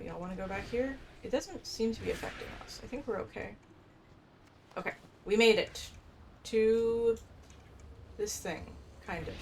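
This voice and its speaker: A woman playing a video game and commentating casually, rambling to herself with some mild swearing. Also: mid-range voice. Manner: rambling, self-talking, mildly sweary. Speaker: video game player narrating her gameplay. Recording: clearly noisy, game ambience behind voice.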